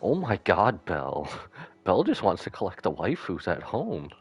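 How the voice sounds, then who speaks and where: Animated low-voiced male game streamer. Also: normal speed, husky, muffled recording. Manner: jokey, casual reactions. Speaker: live streamer playing a game. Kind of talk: gaming commentary and reading game text aloud. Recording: game music underneath. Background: game music.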